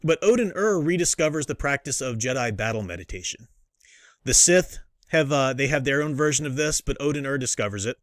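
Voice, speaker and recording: A man's voice: mid-range voice, expressive, brisk, fairly bright tone, no room sound, clean studio sound. A man hosting a casual review, explaining things in an enthusiastic, emphatic, teacherly way. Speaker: host and book reviewer. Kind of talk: casual comic review commentary.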